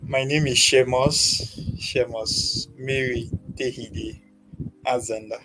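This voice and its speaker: A young man from Nigeria with a Nigerian accent, a guest telling his life story in a soft, hesitant way with many pauses. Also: medium pitch, fairly even intonation, a little hiss or hum, poor audio. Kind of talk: personal story in an interview.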